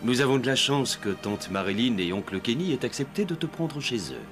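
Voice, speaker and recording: Expressive, reassuring cartoon dad. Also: male voice, medium pitch, brisk, faint music behind. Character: gentle, encouraging father of young children. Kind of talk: French cartoon dub acting.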